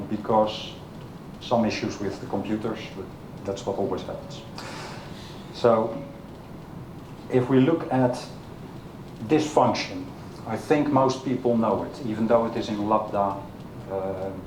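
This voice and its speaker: A man with a Dutch accent giving a technical conference talk, halting with many filler sounds and questions to the audience. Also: a little mellow. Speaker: conference speaker. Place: conference room. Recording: echoey hall with low rumble.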